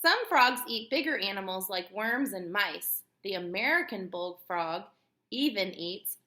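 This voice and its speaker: A woman teacher reading aloud to young children in an expressive, instructive way, putting questions to the listener. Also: mid-range voice, a little slow.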